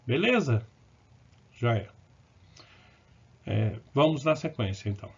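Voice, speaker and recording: A man's voice: medium pitch, big pitch swings, slow pace, husky. Math teacher walking through problems step by step, fixes his own slips, muffled lo-fi audio. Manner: explains step by step, correcting himself as he goes; checks in with short asides to the viewer. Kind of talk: recorded lesson working through problems. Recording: dull, lo-fi recording.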